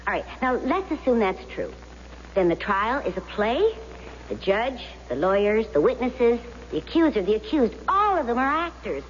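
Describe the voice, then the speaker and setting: An actress playing a persuasive, affectionate, confident wife in radio drama, coaxing with emphatic theatrical delivery. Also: female voice, a little high, big pitch swings, normal speed. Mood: coaxing, teasing. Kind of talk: 1970s radio drama acting. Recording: dull, noisy, reverberant old radio recording.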